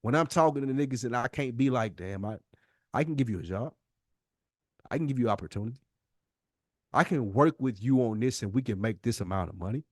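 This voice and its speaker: A man's voice: medium pitch, expressive, fairly quick, long pauses, a little mellow, no room sound. American man, earnest and slangy, dry sound. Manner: earnest, slangy, repeats words for emphasis. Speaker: podcast co-host. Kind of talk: casual, candid podcast conversation.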